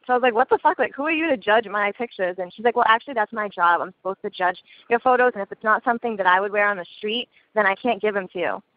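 A young woman rattles through a story in casual phone chat. Her voice comes over a thin, tinny line with no room sound at all. She talks quickly and swears freely. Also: mid-range voice, no room sound. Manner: chatty storytelling, swears and laughs easily. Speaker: caller.

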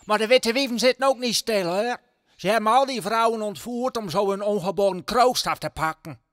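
Superstitious country gossip for an audio drama, male actor: high-pitched, emphatic, folksy, recorded dry. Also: normal speed, a bit bright, no echo. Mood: gossipy, indignant. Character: superstitious gossiping villager in old rural Drenthe. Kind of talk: Dutch audio drama acting.

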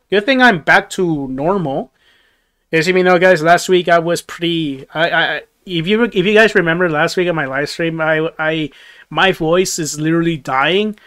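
A young man talks to his livestream audience from a small dim bedroom, his voice sitting a little higher than most men's. He rambles, restarts sentences often and chuckles between thoughts. The sound comes through a close headset mic. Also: a bit bright. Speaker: livestream host. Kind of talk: casual livestream chat reading viewer comments. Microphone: gaming headset.

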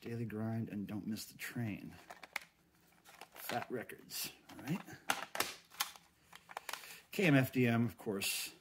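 A man's voice: on the low side, slow pace, pauses often. A middle-aged American man giving casual, folksy unboxing commentary as a host, thinking aloud as he goes. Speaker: host of a cassette unboxing video. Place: indoors at a tabletop. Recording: clear crinkling and handling noise. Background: plastic wrap crinkling, cases clicking.

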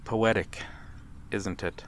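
A man playing a courteous, melancholy vampire in a dramatic ASMR roleplay, speaking formally and with measured pauses. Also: fairly low pitch, steady tone. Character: courteous, melancholy male vampire, lonely and mysterious. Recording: clear background ambient noise. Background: night harbor ambience.

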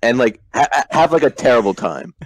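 A man's voice: medium pitch, big pitch swings, brisk, slight background noise. A young man co-hosting a casual video chat, laughing and egging his friends on. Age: young adult. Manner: prodding, laughing instructions. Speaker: co-host.

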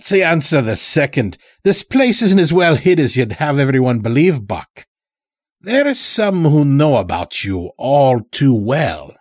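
A man performs a weary, sly old man from a dark audio story. His pitch is lifted and swings widely, and he rambles along in folksy, clipped grammar. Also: sing-song, measured pace, dry recording, studio quality. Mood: sly, needling. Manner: folksy, rambling, dropped words.

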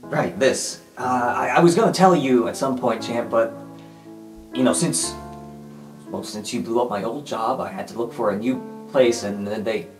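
A man playing a hesitant, caring guardian of a young hero, speaking in a halting, reassuring way. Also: mid-range voice, echoey room, music in the background, close to an everyday voice, rough recording. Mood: sheepish.

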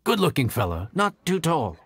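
An actor playing a friendly, humble young inn chef in a video game, folksy and easily flustered. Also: male voice, fairly high pitch, wildly swooping pitch, normal speed, fairly bright tone, breathy edge, exaggerated voice. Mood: confiding. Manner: affable, folksy, slightly nervous. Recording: game music behind the voice.

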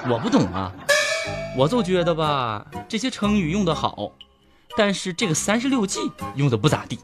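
A man's voice: a little high, very animated, moderate pace, music in the background, a crowd in the background. An actor playing an exasperated, know-it-all fisherman under questioning in a comedy radio drama, plaintive and pedantic in everyday speech.

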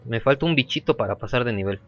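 Man commentating, throat-clearing. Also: medium pitch, fast, lo-fi sound, soft background music. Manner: relaxed, repeats phrases, chatty. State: sore throat, clearing it often. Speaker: gameplay commentator. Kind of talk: casual gameplay commentary.

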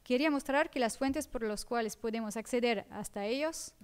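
Woman with a Portuguese accent, halting lecture. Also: a little high, smooth. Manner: careful, halting reading with frequent self-corrections. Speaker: academic speaker. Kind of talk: reading a conference paper. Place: seminar room at a table.

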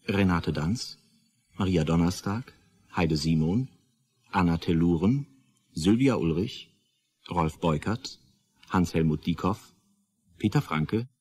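A man with a low, husky voice makes a scripted radio announcement. He reads slowly and soberly, item by item, with formal restraint. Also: darkish tone. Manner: formal, sober, list-like delivery. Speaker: announcer reading the credits.

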